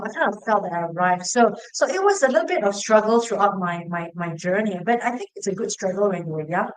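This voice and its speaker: A woman's voice: medium pitch, poor audio. A woman from Singapore, hosting a casual talk show and asking her guest rambling, restarting questions. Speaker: podcast host. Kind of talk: casual conversation.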